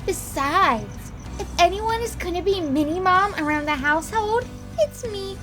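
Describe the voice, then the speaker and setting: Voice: extremely high pitch, animated, measured pace. A performer voicing a doting, protective teenage big sister, gushing and motherly, in a cartoon fan drama. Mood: teasing, fond. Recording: music under the voice.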